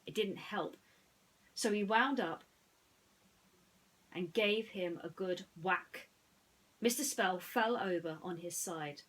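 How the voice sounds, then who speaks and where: A woman narrating a bedtime story in an expressive, deliberate way, with chatty asides. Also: lowish voice, rough recording.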